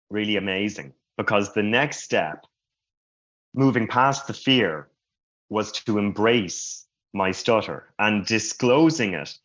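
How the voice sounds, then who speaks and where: A man who stutters giving a slow, reflective personal talk at a conference. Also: on the low side, a bit bright. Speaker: conference speaker. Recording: muffled lo-fi sound.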